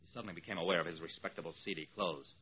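A man's voice: sits low, fairly even intonation, very fast, sharp tone. An actor playing a wry, level-headed investigator, narrating his case in a clipped, matter-of-fact way in an old-time radio drama. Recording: noisy old radio recording.

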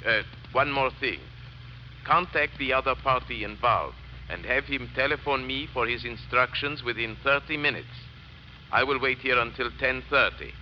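A man's voice: medium pitch, normal speed. An actor playing a cold, menacing male plotter giving clipped, commanding orders, heard as a tape playback in an old radio drama. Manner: commanding, sinister, clipped formal phrasing. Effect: played back from a tape recorder. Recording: muffled, noisy old radio recording.

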